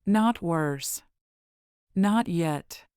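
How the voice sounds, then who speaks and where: An actor in an audio drama playing a cryptic, calm tavern mystic who speaks in a measured, terse way, with faint background noise. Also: mid-range voice, clear, resonant tone, no echo, clean studio sound.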